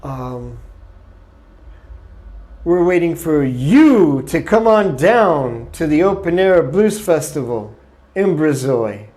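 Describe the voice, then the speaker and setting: A man's voice: on the high side, expressive, takes it slowly, darkish tone. A man interviewing a musician, asking brief, polite questions in careful English. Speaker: festival interviewer.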